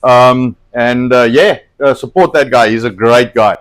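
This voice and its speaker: A man's voice: mid-range voice, measured pace. A man whose first language is Afrikaans, hosting a casual cooking livestream from a home kitchen, rambling with plenty of fillers and restarts. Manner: rambling, hesitant, lots of fillers. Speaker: cooking show host.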